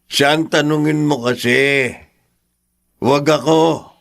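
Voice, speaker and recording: A man with a Filipino accent acting a weary middle-aged father and older brother in a Tagalog radio drama, gruff and offhand. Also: fairly high pitch, steady tone, slow pace, a bit bright, full character voice. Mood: wry, affectionate.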